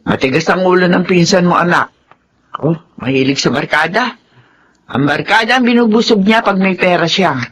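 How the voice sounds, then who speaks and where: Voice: mid-range voice, normal speed, a bit bright, slight background noise, close to an everyday voice. An actor playing an elderly, disapproving grandmother with a Filipino accent in a radio drama. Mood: matter-of-fact, disapproving.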